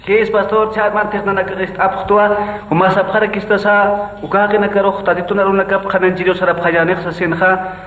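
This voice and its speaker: A man performs a humble, respectful village churchgoer in a radio drama. He speaks high, with a deferential manner. The sound is muffled, with long reverb around the voice. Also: level tone, fairly quick, natural voice. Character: respectful male villager, member of the congregation. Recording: echoey, muffled hall-like reverb.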